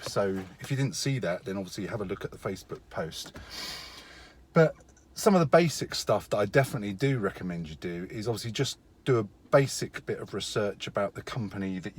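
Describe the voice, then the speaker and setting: A middle-aged man talking casually and matter-of-factly, as a contractor sharing career advice, leaning on key words for emphasis. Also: fairly low pitch.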